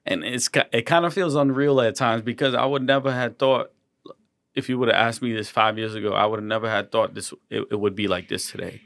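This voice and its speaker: Gravelly low-voiced man, earnest and halting. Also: fairly even intonation, fairly bright tone, dry recording, clean studio sound. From Colombian and Ecuadorian heritage. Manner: earnest, halting, repeats words. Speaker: guest artist. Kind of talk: casual interview chat.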